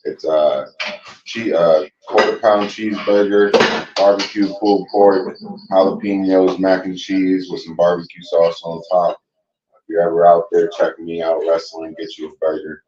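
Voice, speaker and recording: Deep-voiced laid-back guest man. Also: flat delivery, moderate pace, noisy background. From Cleveland, Ohio. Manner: laid-back, gives shout-outs. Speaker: guest cook and wrestler. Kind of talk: casual podcast chat. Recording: dull, roomy, distant mic.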